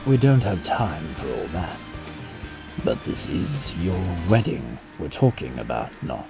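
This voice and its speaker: A man's voice: low-pitched, big pitch swings, measured pace, vocal fry, music in the background, exaggerated voice. A man playing a composed young royal advisor in a fan audio drama, formal, precise and drily wry.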